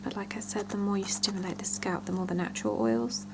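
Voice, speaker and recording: A woman doing an ASMR salon roleplay, talking gently and reassuringly to a client in a breathy whisper mixed with soft voicing. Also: medium pitch, fairly even intonation. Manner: slow, gentle, reassuring, with murmured pauses. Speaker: ASMR roleplayer playing a salon scalp-check attendant. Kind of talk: ASMR roleplay, one-sided chat with a client.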